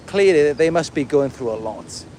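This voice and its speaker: Male livestreamer, Welsh accent, voice a bit on the high side, loose rambling delivery, light street noise behind. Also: expressive, normal speed, mellow. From Wales. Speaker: livestream host and content creator. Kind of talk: casual livestream monologue, answering viewer comments.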